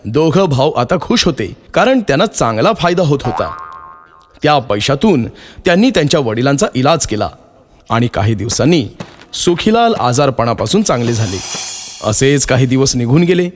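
A man's voice: mid-range voice, sing-song, moderate pace. A man narrating a scripted moral story for children.

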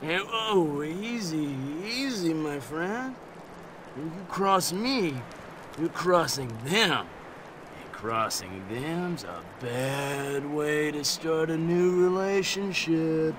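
An actor playing a shady, jittery hippie drug dealer who rambles and repeats himself in stoner slang for a video game. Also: male voice, fairly high pitch, animated, takes it slowly, background noise. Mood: placating, shifty. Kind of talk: video game cutscene acting.

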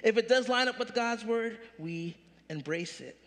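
A man in his thirties preaching a sermon from a large church stage, animated, with punchy rising questions. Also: extremely high pitch, normal speed, big-room sound. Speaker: pastor.

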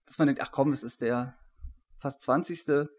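A man's voice: medium pitch, fairly even intonation, normal speed, a little mellow. A man in his forties co-hosting a conversational interview, at a shared desk microphone. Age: 40s. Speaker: interview co-host. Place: indoor room, on a video call.

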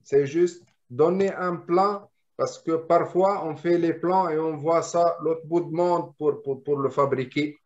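A man whose first language is Arabic explains drafting on a video call, restarting and repeating words as he goes. His voice sits a little high. The call audio is muffled and lo-fi. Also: steady tone, a little slow, a little mellow. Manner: explanatory, many repetitions and restarts. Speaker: industrial draftsman guest. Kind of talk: casual video call discussion.

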